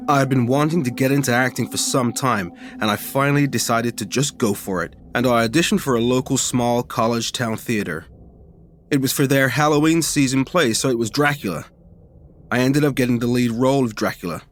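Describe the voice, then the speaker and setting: A man narrating a first-person ghost story in an informal, expressive, conversational way, as a podcast reader. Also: medium pitch, brisk, a little hiss or hum.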